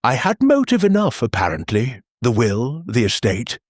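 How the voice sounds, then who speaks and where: A bitter English gentleman under suspicion, acted by a male storyteller in an audiobook mystery. He speaks in clipped, defensive phrases with a husky edge and restless, lively pitch. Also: normal speed, no room sound, studio quality. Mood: bitter, indignant. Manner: flat, clipped, resentful. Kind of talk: audiobook character voice.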